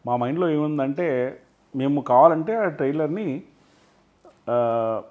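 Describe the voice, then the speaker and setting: A man's voice: a little high, expressive, unhurried, phone-quality sound. A man speaking as a film industry guest in an interview, often switching into English phrases. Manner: mixes English phrases into his talk. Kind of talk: animated interview talk.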